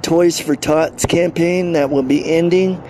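A male podcast host speaks slowly in a fairly high, soft-edged voice with a dark, muted tone. He addresses listeners directly, and faint noise sits behind him. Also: fairly even intonation. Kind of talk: podcast sign-off announcement to listeners.

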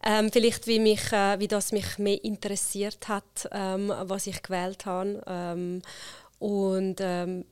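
A woman's voice: mid-range voice, little pitch movement, slow, darkish tone, clear, resonant tone. A middle-aged woman with a Swiss German accent, chatting casually as an interview guest, with many fillers and frequent laughter. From Switzerland.

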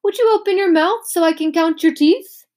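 A woman's voice: very high voice, normal speed, darkish tone, voice pushed up. A woman voicing a genial, playful male dentist who teases a small patient, in a children's story reading. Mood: jovial. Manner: jovial, teasing.

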